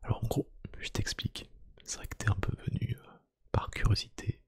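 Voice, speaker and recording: A man doing a soft-spoken ASMR roleplay, gently whispering one-to-one to the listener in a hushed, breathy voice. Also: fairly quick, dry recording, studio quality. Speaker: ASMR YouTube creator. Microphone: close microphone.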